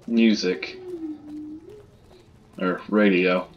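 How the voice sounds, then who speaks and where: A man's voice: low-pitched, fairly even intonation, drawn-out words, dull audio. A man giving casual gameplay commentary, muttering to himself as he plays. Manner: muttering to self. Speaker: gamer doing a playthrough.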